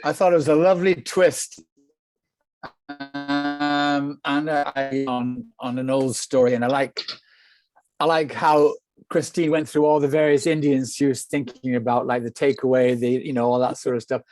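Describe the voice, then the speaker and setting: A man's voice: medium pitch, steady tone, moderate pace, darkish tone, dry recording, faint music behind. A man giving casual, slightly hesitant feedback on a video call, repeating himself as he goes.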